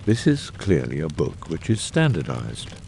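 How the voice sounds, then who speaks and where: A man playing a brilliant, exacting, eccentric detective in a dramatised audiobook, speaking with precise, erudite phrasing and deliberate pauses. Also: rumbling low, huge pitch swings, vocal fry, darkish tone, slight background noise, full character voice. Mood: analytical. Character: brilliant, eccentric middle-aged male detective, exacting and theatrical.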